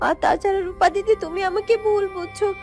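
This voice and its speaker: A woman voicing a gentle, wronged village girl in a fairytale cartoon, earnest and pleading, with halting repeated phrases. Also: very high voice, steady tone, faint music behind. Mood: shocked, pleading. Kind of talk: Bengali fairytale cartoon voice acting.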